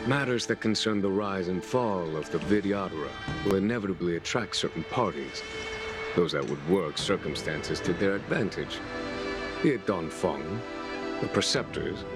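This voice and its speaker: An actor playing a calm, formal general in a video game, speaking in an unhurried and eloquent way. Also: male voice, deep voice, natural voice. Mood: calm, measured. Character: calm, measured male general of the Cloud Knights. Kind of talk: scripted video game voice acting. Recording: music loud under the voice.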